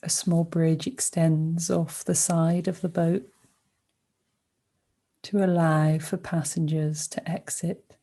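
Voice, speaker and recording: Woman, sleep meditation narration, soft relaxed tone, even and flat intonation, gentle, pausing between short phrases. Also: deep voice. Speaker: meditation guide. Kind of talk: guided sleep meditation, soothing scripted narration.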